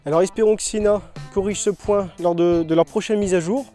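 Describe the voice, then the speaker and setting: A man with a noticeably high voice and a mellow, soft tone talks through a gear review in an informal, conversational way. Faint music plays behind him. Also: fairly even intonation, a little fast. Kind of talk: casual product review narration and riding chat.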